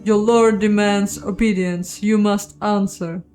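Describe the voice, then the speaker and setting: A commanding game character, performed by a woman, an inner voice that demands obedience. The delivery is slow and deliberate, stern and domineering, over faint music. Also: mid-range voice, steady tone, darkish tone, lightly acted. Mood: stern, demanding. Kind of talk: video game character reading.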